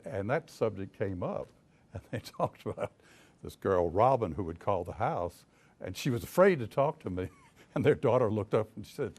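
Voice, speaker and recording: An older man with a deep voice and a Southern US accent, a private investigator telling folksy stories to an audience, chuckling as he goes. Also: darkish tone, breathy edge. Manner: folksy storyteller, chuckles often, many restarts. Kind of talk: casual talk to an audience.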